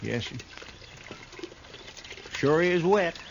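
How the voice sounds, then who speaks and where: An actor playing an anxious, loyal, folksy deputy in a 1950s radio western, deferential and worried. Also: male voice, fairly high pitch, lively intonation, very slow, vocal fry, dull audio, exaggerated voice. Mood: uneasy, grumbling. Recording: very noisy old radio recording.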